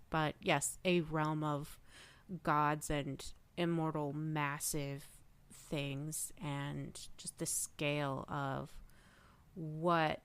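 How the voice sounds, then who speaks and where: A woman with a smooth, clear, slightly low voice talks as a podcast guest. She takes her time, yet sounds keen and emphatic. The style is casual fan discussion. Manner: enthusiastic, emphatic, sweary.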